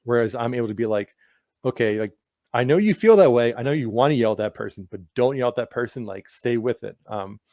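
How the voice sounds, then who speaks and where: A man who stutters, answering questions in a loose, conversational way. He repeats sounds and words and leans on "like" as a filler. His voice sits at a middling pitch, recorded dry and close. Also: lively intonation, brisk, no room sound, lo-fi sound. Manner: stutters with sound and word repetitions; heavy use of "like" as filler. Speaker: guest author. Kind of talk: casual interview answers.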